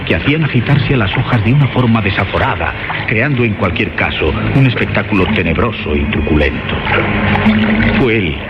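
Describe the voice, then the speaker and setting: A man with a very deep voice narrates a horror radio play. His delivery is solemn and literary, like formal storytelling. Loud music sits beneath a slightly dull recording. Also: lively intonation, brisk.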